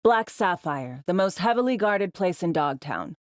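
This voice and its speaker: An actress playing a tough, bossy, wry spy handler in a cyberpunk video game, with clipped, slangy, teasing delivery. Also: female voice, fairly low pitch, big pitch swings, moderate pace, dry recording, clean studio sound. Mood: brisk, teasing. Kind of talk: video game voice acting, briefings and radio guidance.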